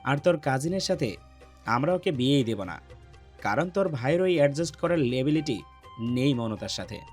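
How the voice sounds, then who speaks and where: Casting: man to play protective, bossy young male lead in a romance audio story, average pitch, firm delivery. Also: expressive, moderate pace, darkish tone, faint music behind, natural voice. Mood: stern.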